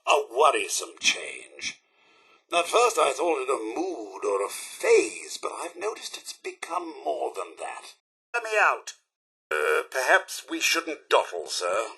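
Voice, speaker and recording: A British robot butler for a video game, loyal, formal and easily flustered. The voice sits high and leaps dramatically up and down. It has a husky rasp under its theatrical, anxious politeness. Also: male voice, pushed and bright, heavily acted. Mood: hesitant, worried. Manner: formal, deferential, theatrically emotive and fretful. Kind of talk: video game character acting.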